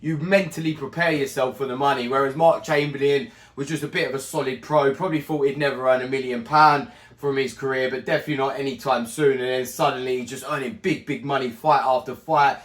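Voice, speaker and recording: A man with a croaky, strained, hoarse voice hosting a casual boxing recap, rambling and correcting himself as he goes. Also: medium pitch, steady tone, a little fast, roomy sound. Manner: chatty, rambling, self-correcting. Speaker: boxing channel host. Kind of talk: casual fight recap monologue.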